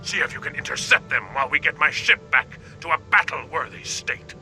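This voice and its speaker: A battle-hungry Klingon emperor for a video game. His voice is fairly high and very sharp, booming out in theatrical declarations, with music underneath. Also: a little fast. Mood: wrathful. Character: proud battle-hungry Klingon emperor, alien warrior leader.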